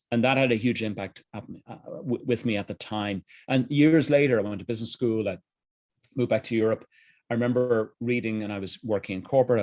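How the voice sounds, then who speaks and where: A middle-aged man with a Dublin Irish accent and a fairly low voice talks earnestly about his own path as an interview guest. His answers come candid and reflective, doubling back on phrases now and then. The sound is dry and close, with no room around it. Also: a little mellow, dry recording. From Ireland. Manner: candid, earnest, frequent restarts. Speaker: guest, executive coach.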